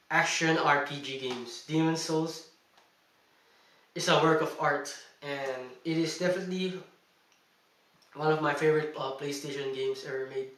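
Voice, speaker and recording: A man's voice: on the high side, sharp tone, recorded from a distance. A young man, a Tagalog speaker, chatting emphatically in a casual video game review and laughing between points, recorded indoors. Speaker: gaming vlogger. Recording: echoey room, mic set back.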